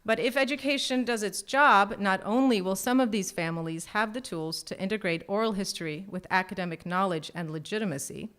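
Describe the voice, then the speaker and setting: A woman reading out a measured, prepared talk as a conference panelist. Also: mid-range voice, fairly bright tone.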